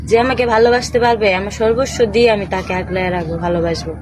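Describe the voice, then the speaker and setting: A woman's voice: mid-range voice, fairly even intonation, fast talker. Young Bangladeshi woman, noisy outdoor recording. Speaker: interview guest telling her life story. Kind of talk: personal testimony to camera. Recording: outdoor street noise with traffic.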